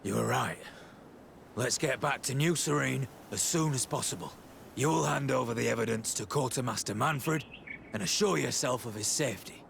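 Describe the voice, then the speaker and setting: A man's voice: medium pitch, normal speed, gravelly, fairly bright tone, a little hiss or hum. An actor playing a proud, stern male guard captain in a video game, formal and grave. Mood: decisive.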